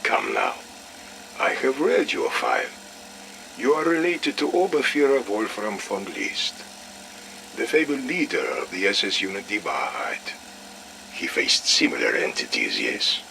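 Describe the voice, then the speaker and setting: An actor playing a stern, menacing military colonel, clipped and commanding, in a video game audio log. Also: male voice, fairly low pitch, huge pitch swings, unhurried, creaky, pushed and bright, slight background noise, heavily acted. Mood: insinuating.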